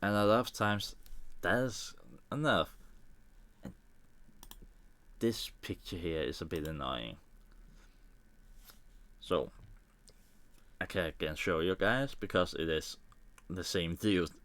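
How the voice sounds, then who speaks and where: A man from Denmark with a Danish accent and Danish as his first language, thinking aloud in halting English with small grammar slips as he walks through editing a photo on a live stream. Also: low-pitched, animated, fairly bright tone, dry recording. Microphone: desk microphone.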